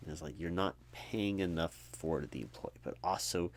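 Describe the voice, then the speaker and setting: A man doing a conversational ASMR roleplay as an apathetic, low-energy recruiter, rambling in a jaded, sardonic way. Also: moderate pace. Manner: rambling, sardonic asides mimicking corporate talk.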